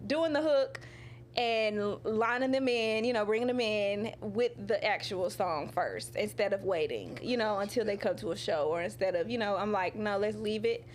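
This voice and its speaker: A woman singer-songwriter talking casually in an interview, animated and full of laughs, acting out her own quotes, with drawn-out "you know" and "um" fillers. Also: medium pitch, slight background noise.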